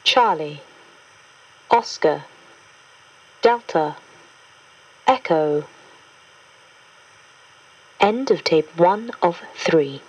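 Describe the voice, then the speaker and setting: A woman playing a formal, impersonal agency instructor, reading scripted instructions in a measured, official way on a distant cassette recording. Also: sits low, animated, breathy edge, heavily acted. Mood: formal, instructive. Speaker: instructional announcer. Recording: muffled, distant cassette tape.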